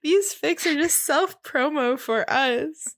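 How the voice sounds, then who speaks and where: A woman's voice: high voice, slow pace, no room sound. A woman chatting casually as a podcast guest or co-host, with a joking, teasing manner. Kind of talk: casual chat.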